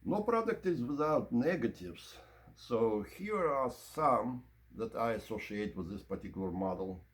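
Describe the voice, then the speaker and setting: A man presenting an informal product review and demonstration, explaining in measured, short broken phrases. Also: medium pitch, lively intonation. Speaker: presenter of audio equipment review.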